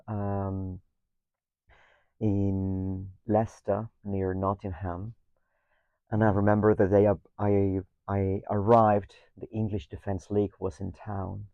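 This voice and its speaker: A man's voice: lowish voice, no room sound, highs cut off. A man in his thirties from Mexico with a Mexican accent, a guest talking reflectively, often hesitating, repeating words and ending thoughts with "no?". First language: Spanish. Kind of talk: reflective conversation.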